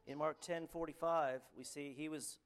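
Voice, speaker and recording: A man with a Canadian accent preaching a sermon and reading scripture, earnest and halting, with frequent ums and restarts. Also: a little high, fairly even intonation, vocal fry, clean tone.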